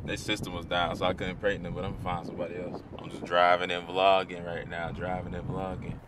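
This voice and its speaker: A young man sits in his car, filming himself on a phone and talking to the camera in a lowish voice. He is slangy and hyped, with faint traffic noise behind him. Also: little pitch movement, fast talker. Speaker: prank vlogger. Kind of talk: casual vlogging while driving. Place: inside a car.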